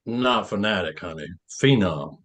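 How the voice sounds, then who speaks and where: A man's voice: fairly low pitch, animated, unhurried, fairly bright tone. A man playing a polite, confident teenage football star and boyfriend in a screenplay table read.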